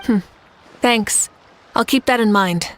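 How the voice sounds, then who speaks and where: A woman playing a composed, commanding leader in a fantasy game, speaking in a measured, assured way. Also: on the high side, fairly bright tone, a little hiss or hum. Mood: composed authority. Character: composed, commanding adult woman leader, amused yet dutiful. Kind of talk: animated fantasy game acting.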